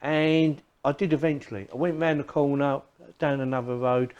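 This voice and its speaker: Mellow-voiced man chatting through a product review. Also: a little high, fairly even intonation, moderate pace, clear, resonant tone, highs cut off. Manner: chatty, repeats phrases as he goes. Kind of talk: casual unscripted product review.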